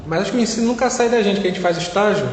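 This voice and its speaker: A man's voice: sits high, rapid-fire. A young man with a Carioca accent, a physics student being interviewed, talking casually with many fillers and restarts. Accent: Carioca Brazilian Portuguese. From Rio de Janeiro, Brazil. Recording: echoey room with long reverb, faint noise.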